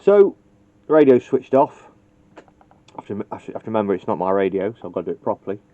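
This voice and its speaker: A man's voice: fairly low pitch, lively intonation, fast, warm tone, no room sound. A man casually demonstrating how to wire a radio, thinking aloud and joking at his own expense. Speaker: CB radio technician. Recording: dull lo-fi recording.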